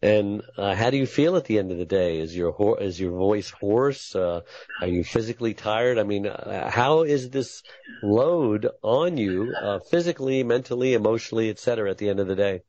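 A male podcast host interviewing a guest, asking his questions in halting, stacked phrases. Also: lowish voice, a little mellow, dull audio.

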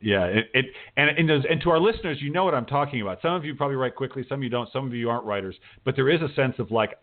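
A middle-aged man hosting a radio interview in a casual, informal way, rambling a little as he thinks out loud. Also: medium pitch, lively intonation, very fast. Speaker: radio show host and interviewer. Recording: band-limited, dull radio-show audio.

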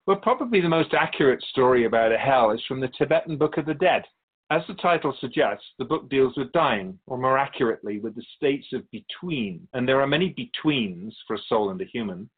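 A man playing a wise spirit who keeps souls in a radio drama, teaching in measured explanations with pointed asides. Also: mid-range voice, expressive, husky, phone-quality sound. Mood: solemn, instructive. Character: ancient male spirit Keeper of Souls, wise storytelling teacher. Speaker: interviewed guest. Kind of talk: scripted radio drama dialogue.

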